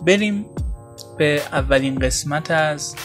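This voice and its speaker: A man introducing a podcast informally, hesitant and pausing between phrases. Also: on the high side. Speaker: podcast host. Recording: music behind the voice.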